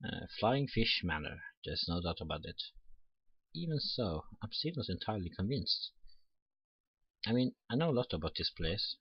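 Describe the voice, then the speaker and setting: Low, husky-voiced man reading aloud. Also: sing-song, moderate pace, lo-fi sound. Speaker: let's player. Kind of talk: reading a visual novel aloud with casual asides. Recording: roomy sound with faint music behind.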